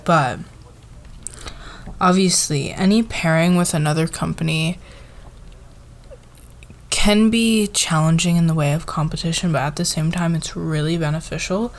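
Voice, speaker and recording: Casting: actress as supportive woman boss for ASMR roleplay, lowish voice, very slow pace, soft halting meeting talk. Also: steady tone. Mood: professional, casual. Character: friendly, encouraging female boss leading a team meeting.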